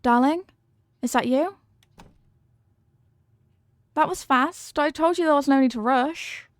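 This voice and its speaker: Woman playing a high-voiced, sweet headless horsewoman girlfriend. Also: slow pace, dry recording. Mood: gentle, explaining. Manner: soft-hearted, sheepish, affectionate, rambling explanations. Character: sweet, apologetic, clumsy headless horsewoman girlfriend, centuries old. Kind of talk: intimate ASMR roleplay audio.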